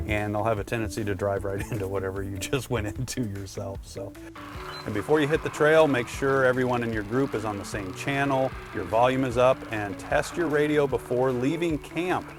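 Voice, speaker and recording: A man's voice: mid-range voice, normal speed, over music. A man presenting product tips in a casual, conversational instructional style, talking directly to the viewer.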